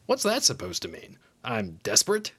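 Smug, animated male schoolteacher. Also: mid-range voice, moderate pace, slightly rough, heavily acted. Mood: defensive, boastful. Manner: casual, self-satisfied. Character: smug, easygoing male high school teacher. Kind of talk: naturalistic audio drama acting.

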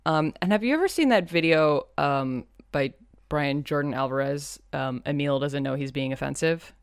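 Low-voiced woman recapping a show. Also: moderate pace, clean tone, dry recording, studio quality. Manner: laughing, jokey, acts out quotes. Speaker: podcast co-host. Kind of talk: casual chat recapping a TV episode.

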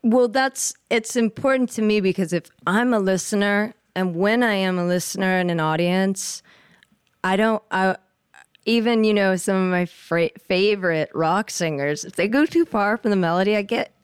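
A woman's voice: mid-range voice, normal speed, clean tone, dry recording, studio quality. A woman singer being interviewed, chatting casually in an earnest, thoughtful way. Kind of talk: casual chat.

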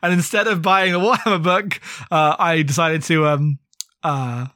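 A man's voice: on the high side, steady tone, moderate pace, sharp tone, no room sound, studio quality. A man in his thirties co-hosting a podcast, chatting casually about books in a rambling, wry way. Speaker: podcast co-host. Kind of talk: casual book discussion.

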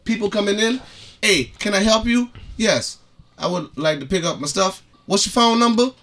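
A man's voice: sits high, expressive, normal speed, fairly bright tone. A man co-hosting a podcast, telling a story in casual, profane slang and acting out the dialogue. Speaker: podcast co-host.

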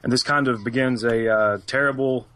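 A man with a self-described monotone voice, co-hosting a casual podcast and reading out a film synopsis. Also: mid-range voice, moderate pace, noisy background.

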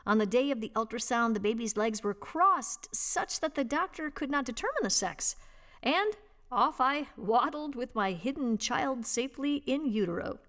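A woman reading a written personal piece aloud as scripted narration, with a measured, expressive storytelling delivery. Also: fairly high pitch. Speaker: volunteer reader and host of an audio reading service.

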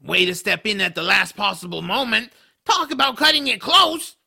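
Gravelly, high-pitched bitter troll. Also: male voice, normal speed, sharp tone, exaggerated voice. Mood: sarcastic grumbling. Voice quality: gravelly, harsh, raspy. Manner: sardonic, grumbling. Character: bitter sarcastic male troll, former slave. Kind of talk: audio drama acting.

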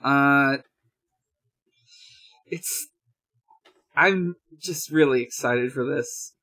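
A man hosting a podcast, chatting casually through news in a halting, hesitant way with drawn-out pauses. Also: mid-range voice, pushed and bright. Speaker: podcast host.

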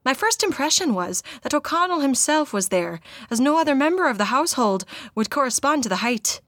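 A woman's voice: sits high, sing-song, a little fast, fairly bright tone, no echo, studio quality. A woman voicing an earnest ghost-story witness with a put-on Irish accent, reading the account dramatically. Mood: hushed, earnest. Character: earnest guest recounting a haunting, in an Irish accent.

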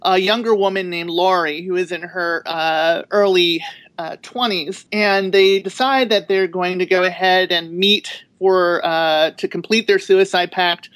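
A woman author on a podcast panel, talking thoughtfully with frequent filler pauses as she describes her work. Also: on the low side, steady tone, no room sound. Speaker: guest author. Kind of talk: casual podcast panel talk.

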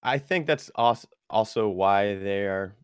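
A man's voice: fairly low pitch, takes it slowly, smooth, no room sound, clean studio sound. A man in a casual group conversation, speculating in a laid-back way and often trailing off mid-sentence.

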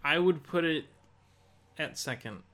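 A man co-hosting a casual video game podcast, speaking in a halting, deliberate way as he thinks aloud. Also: a little high, a bit bright. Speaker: podcast co-host.

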